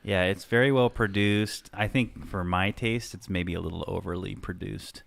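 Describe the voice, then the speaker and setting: Low-voiced man hosting a casual podcast. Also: normal speed, creaky. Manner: rambling, self-deprecating, laughs often. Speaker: podcast host. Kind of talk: casual chat.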